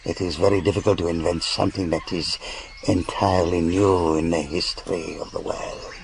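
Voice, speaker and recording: An actor in a radio drama playing a grandiose, paranoid aristocratic villain with a pompous, gravelly delivery. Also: male voice, sits low, level tone, normal speed, a little hiss or hum, low-quality recording. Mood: grandiose, brooding. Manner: pompous, self-aggrandizing.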